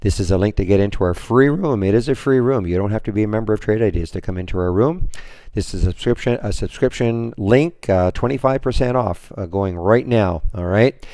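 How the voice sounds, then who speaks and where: Hoarse man rambling through a trading recap. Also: lowish voice, a little fast, dark tone, dry recording. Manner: rambling, many restarts and fillers. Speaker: trading room moderator. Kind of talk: screen-share trading recap.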